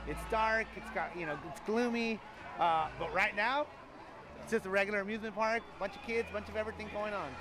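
High-voiced man chatting in a loud crowd. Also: steady tone, fairly quick, music in the background. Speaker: vlog co-host. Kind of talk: casual vlog chat. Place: outdoor amusement park. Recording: noisy outdoor crowd.

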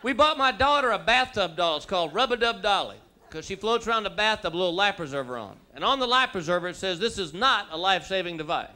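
A man's voice: high voice, animated, quick pace, few pauses, bright, forceful tone, slight background noise. A man in his thirties from Texas with a Texan accent, performing a stand-up comedy routine on a theater stage, drawling through stories and slipping into silly voices. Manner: drawling storyteller, slips into silly character voices. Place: theater stage before a live audience. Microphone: handheld microphone. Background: audience laughter.

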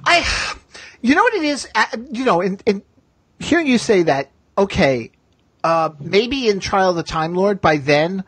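A man hosting a casual, opinionated discussion, often halting and circling back mid-thought. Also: high-pitched, sing-song, fairly bright tone, husky, no room sound. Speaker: podcast host.

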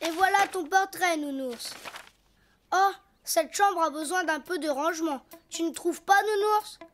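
A little donkey boy in a preschool cartoon. The voice is very high and childlike, taking its time over each playful exclamation. He is cheerful and full of ideas, with music behind him. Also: unhurried. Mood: playful, self-amused. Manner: playful, self-narrating, exclaiming. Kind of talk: French preschool cartoon voice acting.

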